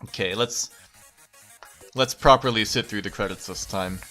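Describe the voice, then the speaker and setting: A man's voice: on the low side, normal speed, pushed and bright, soft background music. A man streaming a game, reading aloud and giving casual commentary.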